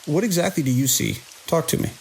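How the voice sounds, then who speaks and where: A man playing a weary, skeptical security chief in an audio drama, speaking in a dry, authoritative, measured way. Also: on the high side, creaky, a little mellow, a little hiss or hum. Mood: firm, reassuring. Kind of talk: immersive audio drama acting.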